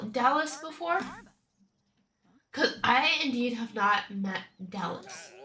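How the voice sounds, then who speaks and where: A young woman streaming a game with casual, chatty commentary, her nose stuffy from a cold and her talk breaking into bubbly bursts of excitement. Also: on the high side, gravelly.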